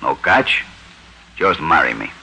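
An actor playing a crude, menacing fat racketeer in an old radio mystery, talking in broken grammar and tough slang. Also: male voice, rumbling low, big pitch swings, a little slow, pushed and bright, breathy edge, heavily acted. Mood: wheedling. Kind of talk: 1940s radio drama acting. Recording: noisy old radio recording.

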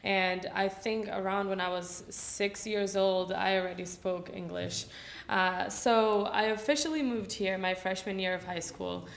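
A woman's voice: medium pitch, steady tone, unhurried, vocal fry. A Brazilian woman in her twenties, a native Portuguese speaker, giving a casual symposium talk at a lectern microphone with lots of fillers. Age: 20s. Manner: casual, chatty, frequent fillers. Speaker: student speaker. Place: lecture hall at a lectern. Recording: echoey room.

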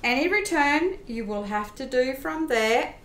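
Bureaucrat, played by a woman: high, formal, sceptical, radio play delivery in an echoey room. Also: expressive, measured pace. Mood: officious. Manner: formal, officious. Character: officious, sceptical female government clerk. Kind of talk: old-time radio play acting. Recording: roomy echo.

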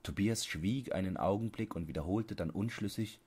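A man's voice: deep voice, moderate pace, a little mellow, husky. A man narrating a literary audiobook as a scripted reading.

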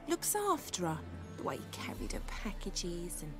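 Naive young game girl, dreamy n gushing, pitch all over the place, music behind. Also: huge pitch swings, moderate pace, whispered. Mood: dreamy, guileless. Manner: guileless, dreamy, chatty. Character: naive, gushing young woman smitten with a bard. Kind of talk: video game character acting.

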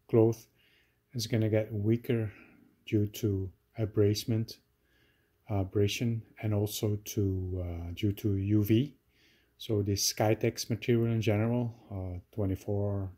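A man with a mellow, darker voice pitched on the low side, walking through a hands-on demonstration at a table. He talks in a casual, halting way, full of fillers and self-corrections. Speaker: DIY video maker. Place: indoors at a table.

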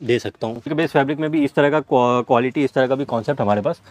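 A young man walks a camera through stacks of cloth in a warehouse. He talks very fast, reeling off fabric types in steady salesman patter, at an ordinary pitch for a man. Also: dry recording. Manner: rapid salesman patter listing items. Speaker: fabric seller. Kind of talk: product showcase walkthrough.

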